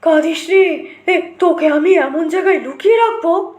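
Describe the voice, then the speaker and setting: An old village father , voiced by a woman, speaks with protective urgency in an audio storybook. The voice sits high and repeats words in frightened pleading. The recording has a roomy echo. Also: normal speed, darkish tone. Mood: urgent reassurance. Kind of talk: audio storybook character acting.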